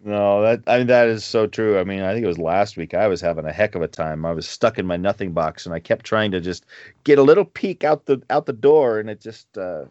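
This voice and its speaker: Podcast co-host, man in his 40s, low and dry, loose rambling delivery, slightly muffled audio. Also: a little fast, darkish tone, no echo. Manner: rambling, chuckles between asides. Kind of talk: casual chat.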